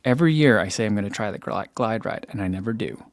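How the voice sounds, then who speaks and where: Man, young adult, soothing voice, relaxed chat, desk mic, dry room. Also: on the low side, fast talker, no room sound. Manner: relaxed, chatty, laughs easily, many fillers. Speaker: running live stream host. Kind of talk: casual live stream chat reading comments. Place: home room. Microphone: desk microphone by computer.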